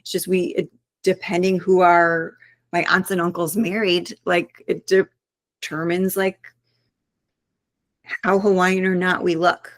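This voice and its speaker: A woman with a lowish voice talks as the guest of an interview, reflective and earnest, working her thoughts out aloud. The sound is dry and close, with little room in it. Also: flat delivery, slow, long pauses, no echo. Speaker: author guest. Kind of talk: interview conversation.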